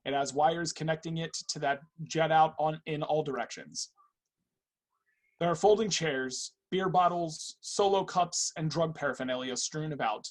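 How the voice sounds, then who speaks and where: A man's voice: fairly high pitch, husky. A man reading scripted stage directions for a play reading.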